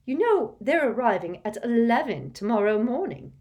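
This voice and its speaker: A woman voicing a bossy, busy wizard mother who fusses and gives brisk orders. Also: a little high, sing-song, a little mellow, pitched above the performer's own voice.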